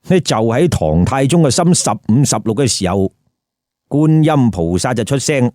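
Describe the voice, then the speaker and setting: Animated male storyteller. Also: medium pitch, no echo, clean studio sound. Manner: theatrical, emphatic, drawn-out phrasing with rhetorical questions. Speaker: storyteller, host. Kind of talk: lively comic Cantonese storytelling.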